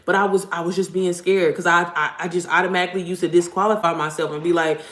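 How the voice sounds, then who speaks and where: A woman's voice: steady tone, quick pace, a bit bright, roomy sound. A young woman, an online business coach giving a casual, blunt, profane motivational talk straight to her viewers. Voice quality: deep voice. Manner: blunt, profane, slangy, addresses viewers directly.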